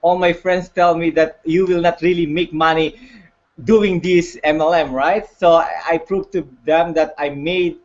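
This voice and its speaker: A man with a Filipino accent speaks English as a second language in a high, light voice. He talks in halting bursts full of fillers and restarts. The sound is dull and lo-fi, as from a video call. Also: steady tone. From Philippines. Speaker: guest on online call. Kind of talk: casual hesitant testimony.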